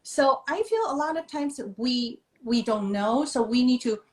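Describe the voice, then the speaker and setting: A woman's voice: sits high, unhurried. Taiwanese-born woman, earnest and echoey. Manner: thoughtful, earnest, slightly non-native phrasing with many fillers. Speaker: guest, children's author. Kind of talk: conversational interview on a live video stream. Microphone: video call. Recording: echoey room over a video call.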